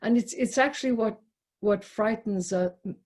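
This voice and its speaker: Woman, soft dark tone, husky, reflective discussion leader, hesitant, repeats phrases for emphasis. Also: medium pitch, moderate pace. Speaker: speaker leading a poetry discussion.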